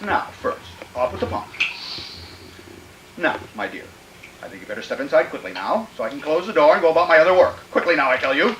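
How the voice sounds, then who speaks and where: Man acting sinister old killer, wildly swinging pitch. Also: animated, fast talker, close to an everyday voice. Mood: gloating menace. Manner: menacing, theatrical, repeats phrases. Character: sinister old male murderer, gloating, then frantically pleading. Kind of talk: old-time radio thriller acting. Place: playhouse stage, live performance. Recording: roomy live stage recording, faint noise.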